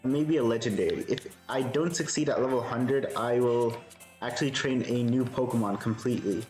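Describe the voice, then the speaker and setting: A man's voice: mid-range voice, normal speed, reverberant, faint music behind. Soothing man chatting through a stream. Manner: relaxed, thinks aloud and answers chat. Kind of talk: casual live-stream gameplay chat.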